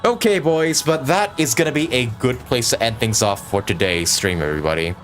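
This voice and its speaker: A young man giving casual, excitable, sweary reaction commentary as a game streamer, often repeating words as he goes. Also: mid-range voice, faint music behind. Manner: excitable, sweary, halting repetitions.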